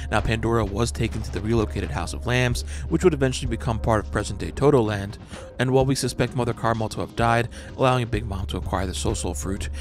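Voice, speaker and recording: A man giving a measured, analytical scripted narration as host of a manga breakdown channel. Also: lowish voice. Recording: voice-over with music underneath. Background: background music.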